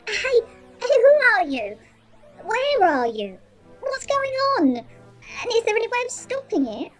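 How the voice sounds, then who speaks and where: An indignant penguin from a comic space radio play. The voice is tiny and extremely high, squawking up and down in bewildered protest. It rings with echo. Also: female voice, wildly swooping pitch, slow, faint music behind, heavily acted. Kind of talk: comic sci-fi audio drama acting.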